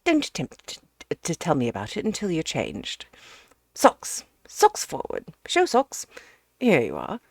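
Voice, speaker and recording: A woman reading aloud, voicing a hearty, bossy, bustling middle-aged Englishman who talks loud and brisk. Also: deep voice, huge pitch swings, dry recording, studio quality, full character voice.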